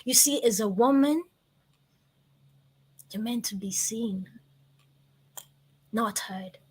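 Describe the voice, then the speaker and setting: A woman in her twenties with a Kenyan accent, telling her story like an inspirational speaker, with emphatic repetition and deliberate pauses. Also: on the high side, husky. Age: 20s. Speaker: guest, motivational speaker.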